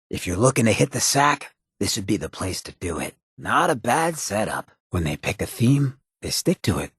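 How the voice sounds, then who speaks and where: A man voicing a swaggering, roguish mayor in a video game, a wry hedonist outlaw with a raspy, gravelly, drawling and sardonic delivery. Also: fairly low pitch, no room sound, clean studio sound, heavily acted. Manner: drawling, sardonic, streetwise slang. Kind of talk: video game character dialogue.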